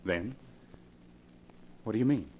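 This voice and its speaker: An actor playing a lovesick, tormented husband under a spell, theatrical and volatile in a 1940s radio horror show. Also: male voice, fairly low pitch, slow, lo-fi sound. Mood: dazed wonder. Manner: theatrical, swinging from hushed to frantic. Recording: noisy vintage 1940s radio recording.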